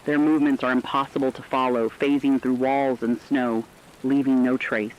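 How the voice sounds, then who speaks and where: A man's voice: medium pitch, little pitch movement, normal speed, dull audio, lightly acted. A man playing a solemn radio host, warning listeners of supernatural doom in portentous, emphatic scripted narration. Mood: solemn, foreboding.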